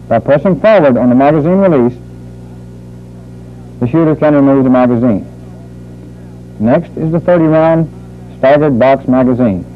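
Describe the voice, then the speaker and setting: A man working as a military instructor, narrating a training film in a formal, deliberate, measured manner. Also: medium pitch. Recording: muffled phone-like old training film soundtrack.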